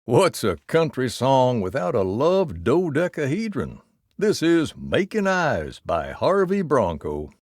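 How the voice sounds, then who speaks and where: An actor playing an easygoing, wry cowboy country radio DJ in a video game, with a folksy American Southern or Western drawl. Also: male voice, mid-range voice, no echo, studio quality, exaggerated voice. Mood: wry, nostalgic. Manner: folksy drawl, wry, song-announcer cadence. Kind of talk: scripted country radio DJ patter.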